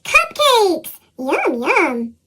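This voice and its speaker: A woman voicing an excitable young animal schoolchild from a picture book, bubbly and exclaiming, through a squeaky pitched-up chipmunk effect. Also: extremely slow, full character voice. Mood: excited.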